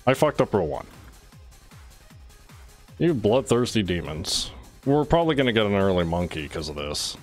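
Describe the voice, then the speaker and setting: A man's voice: fairly low pitch, sing-song, moderate pace, long pauses, darkish tone, soft background music. A man doing casual, sweary gameplay commentary as a streamer, chatting loosely to his teammates. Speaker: game streamer.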